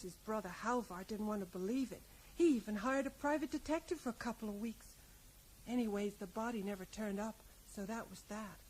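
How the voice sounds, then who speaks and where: An actress playing a plain-spoken, resigned small-town American widow in a radio drama, rambling and often ending on a little question. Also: female voice, a little high, darkish tone, natural voice, rough recording. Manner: rambling, ends remarks with tag questions. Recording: faint noise, 1980s radio play.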